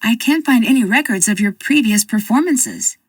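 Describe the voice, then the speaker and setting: An actress voicing a confident young American amateur detective who asks direct, probing questions with dry wit. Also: female voice, a little high, lively intonation, moderate pace, dry recording, distant mic. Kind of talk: video game voice acting.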